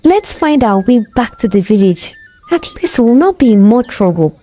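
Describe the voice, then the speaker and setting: A woman plays a young storybook prince, humble and plain-spoken. The voice is mellow and fairly high for the part. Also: very animated, normal speed, soft background music. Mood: apologetic, dejected. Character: young prince, humble, apologetic friend on a quest.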